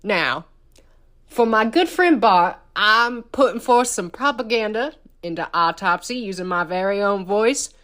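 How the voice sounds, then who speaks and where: A woman's voice: medium pitch, lively intonation, a little slow, a bit bright. A woman voicing a formal, mock-rousing man who rallies voters like brothers in arms in a comic message. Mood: mock-solemn rallying.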